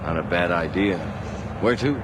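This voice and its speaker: A man's voice: low voice, lively intonation, moderate pace, background noise. An actor playing a frightened, intense man urging on his friend in a 1980s radio horror drama, with a sardonic edge. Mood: subdued, uneasy.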